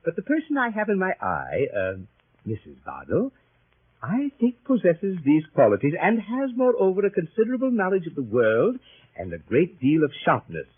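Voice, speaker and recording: An actor playing a flustered, kindly English gentleman who hems and haws pompously, in 1930s radio style. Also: sits high, huge pitch swings, moderate pace, husky, exaggerated voice. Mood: hesitant, coy. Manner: hesitant, pompous, hemming and hawing. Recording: muffled, tinny 1930s radio broadcast.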